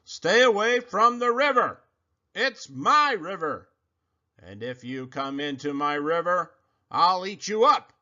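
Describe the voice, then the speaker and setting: A man voicing a big selfish male crocodile in a storybook reading, loud and drawn-out. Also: very animated, full character voice. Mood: bossy threatening. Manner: loud, booming, drawn-out threats. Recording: slightly muffled recording.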